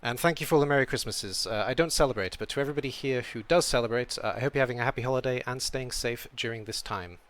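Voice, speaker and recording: A young British man from Wales with a slight European tilt to his accent, chatting casually while he streams a game, reading chat and thinking out loud. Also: mid-range voice, fast talker, few pauses. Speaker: streamer playing a video game. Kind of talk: casual live-stream commentary.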